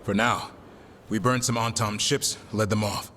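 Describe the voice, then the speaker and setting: An actor playing a dutiful warden warrior who speaks in a firm, clipped way in a video game cutscene. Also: male voice, lowish voice, steady tone, a little slow, pushed and bright. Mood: resolute. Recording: game audio with noisy ambience.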